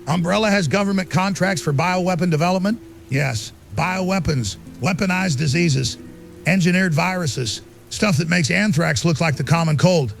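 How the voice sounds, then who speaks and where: A man's voice: high voice, normal speed, creaky, soft background music. A man playing a fiery, suspicious conspiracy host on underground radio, delivering an urgent monologue in punchy rhetorical questions. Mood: urgent, conspiratorial. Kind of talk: dramatic pirate radio monologue.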